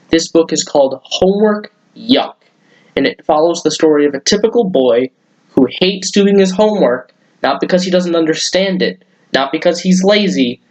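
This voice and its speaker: A man's voice: on the high side, darkish tone, rough recording. A man hosting a children's story time, talking to young listeners and reading a story aloud.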